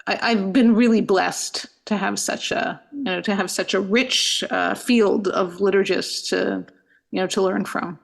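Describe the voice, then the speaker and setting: A middle-aged woman rabbi, a podcast guest who answers thoughtfully, often pausing with "you know" and restarting her sentences. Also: mid-range voice, fairly even intonation, creaky. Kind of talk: reflective interview answers.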